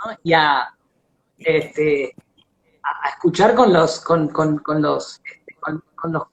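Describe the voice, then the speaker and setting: An Argentine man hosts a casual live interview with a Rioplatense accent. He speaks haltingly, repeating words as he looks for the phrase, and the audio is a little dull and lo-fi. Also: fairly high pitch. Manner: halting, searches for words with repetitions.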